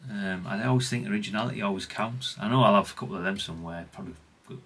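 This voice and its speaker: A man's voice: lowish voice, racing, fairly bright tone, slightly rough. A middle-aged British man giving casual, unscripted workbench commentary in a rambling, chatty, plain-spoken way. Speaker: hobbyist radio repair vlogger.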